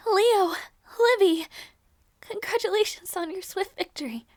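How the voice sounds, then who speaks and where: Very high-voiced, hesitant young princess, acted by a woman. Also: no echo. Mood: warm greeting. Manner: soft, hesitant, halting delivery. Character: gentle young princess, anxious sister, breaking into grief. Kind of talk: audio roleplay drama, intimate one-sided dialogue.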